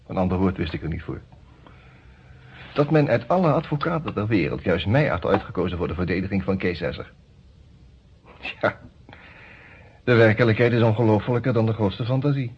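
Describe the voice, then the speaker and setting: A man acting a formal, cautious lawyer in a radio detective play, speaking in measured, businesslike phrases with hesitant pauses. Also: lowish voice, breathy edge, exaggerated voice. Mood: musing narration. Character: formal, cautious male defence lawyer who also narrates. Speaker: actor in a radio detective serial. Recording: dull, muffled old radio recording.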